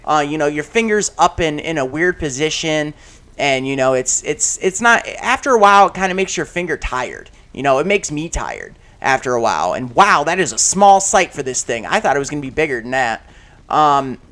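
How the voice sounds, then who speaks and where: A man with a slightly high, raspy voice talks through a shooter game session in a loose, rambling way. He piles on fillers and restarts, and breaks off into loud shouts at the action. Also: big pitch swings, normal speed. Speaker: gaming channel host. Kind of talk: casual commentary while playing a shooter game.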